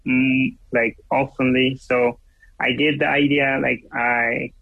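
A man's voice: mid-range voice, little pitch movement, vocal fry, breathy edge, no room sound, phone-quality sound. A man from Ethiopia with an Ethiopian accent, speaking English as a second language, searching for words as an interview guest. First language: Amharic. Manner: halting, searching for words, many fillers. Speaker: musician guest. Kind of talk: interview over a line.

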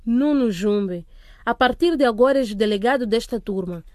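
A firm but kind headmistress, played by a woman in a radio play. Her voice is fairly high, formal and commanding. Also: normal speed, a little mellow, no echo, natural voice. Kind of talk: radio drama acting.

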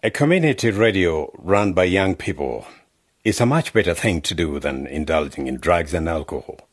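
An actor playing a vain, pompous member of parliament who insists on being called honorable, in a radio drama. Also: male voice, low voice, lively intonation, moderate pace, breathy edge, no room sound, studio quality. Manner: pompous, insists on his title. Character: vain smooth-talking male politician, middle-aged.

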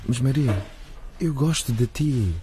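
A man's voice: medium pitch, measured pace, dark tone, natural voice. Man acting a coaxing teenage schoolboy, noisy track. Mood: pleading. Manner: pleading, coaxing. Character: teenage schoolboy, pleading but self-serving boyfriend. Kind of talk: radio drama acting.

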